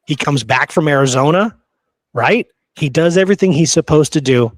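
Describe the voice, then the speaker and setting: A man's voice: on the high side, normal speed, no echo. A man hosting a history podcast in a conversational way, earnest and repeating phrases for emphasis, close on the microphone. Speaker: podcast host.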